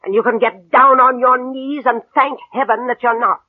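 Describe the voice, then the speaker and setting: An actress playing an imperious, spiteful older rich aunt with cutting, theatrical delivery in a mid-century radio play. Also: female voice, on the high side, fairly even intonation, moderate pace, phone-quality sound, heavy background noise, close to an everyday voice. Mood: bitter. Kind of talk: 1940s-50s radio drama acting. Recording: band-limited old radio broadcast.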